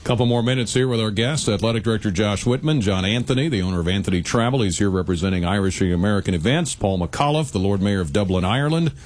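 Radio host, man, slightly low voice, conversational. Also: fast talker, few pauses, a little mellow. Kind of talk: radio interview hosting.

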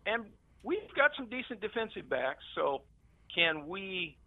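Gravelly American man on a phone line. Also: high voice, big pitch swings, unhurried, no room sound. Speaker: radio show caller. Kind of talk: casual sports opinion. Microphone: phone call. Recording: narrow phone line sound.

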